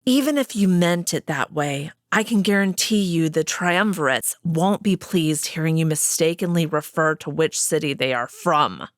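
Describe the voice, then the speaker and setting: A woman's voice: low voice, moderate pace, hardly any pauses, no room sound, studio quality, natural voice. A woman voicing the emperor's stern wolf sister, who corrects him curtly and bossily. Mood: annoyed. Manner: curt, scolding.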